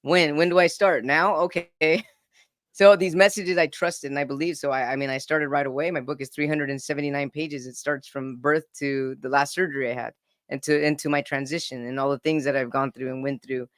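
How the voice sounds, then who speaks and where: A man's voice: a little high, steady tone, fairly quick, fairly bright tone, no echo, studio quality. A man in his forties telling his own story as a podcast guest, earnest and often repeating words as he starts a sentence. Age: 40s. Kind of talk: casual personal storytelling.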